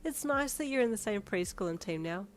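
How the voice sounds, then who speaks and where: A woman's voice: mid-range voice, lively intonation, a little fast, lightly acted. A wry young mother, voiced by a woman storyteller. She is loving and easily embarrassed, her lines played as dialogue in an acted audio story. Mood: warm, encouraging.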